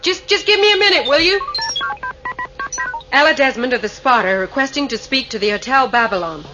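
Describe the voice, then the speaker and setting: A woman's voice: high voice, expressive, a little fast, music in the background. An actress playing a commanding, businesslike female starship captain in a radio drama. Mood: questioning. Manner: authoritative, businesslike.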